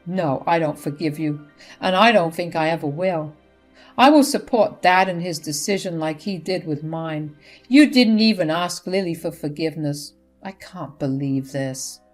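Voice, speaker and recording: A woman's voice: sits low, lively intonation, normal speed, faint music behind. A woman voicing an angry adult son who speaks bluntly and firmly. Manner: blunt and firm.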